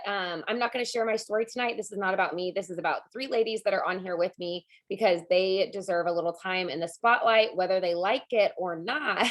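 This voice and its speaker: Raspy woman hosting a video call. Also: medium pitch. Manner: chatty, laughs often. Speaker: host of a team video call. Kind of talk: casual chat.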